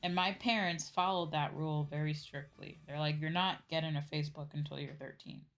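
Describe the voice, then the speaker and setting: A woman's voice: low-pitched, steady tone, a little fast. A young adult woman streamer chatting casually in a conversational way, often checking in with the listener. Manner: conversational, often checks with "right?" and "you know". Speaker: VTuber streamer. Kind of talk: casual livestream chat. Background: background music.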